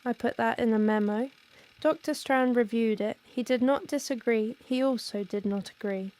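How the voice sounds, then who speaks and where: High, mellow woman acting as a precise researcher. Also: moderate pace, close to an everyday voice. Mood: controlled, uneasy. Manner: formal, careful, clinical, restrained. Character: precise, rational female researcher recording a troubling testimony. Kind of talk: scripted found-audio horror monologue.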